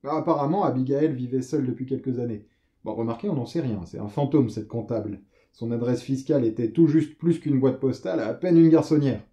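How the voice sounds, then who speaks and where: A man's voice: medium pitch, fairly quick, warm tone, highs cut off, lightly acted. A man playing a talkative, jittery screenwriter in an audio drama, colloquial and a little hesitant. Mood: musing. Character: chatty, jittery male screenwriter, politely hospitable.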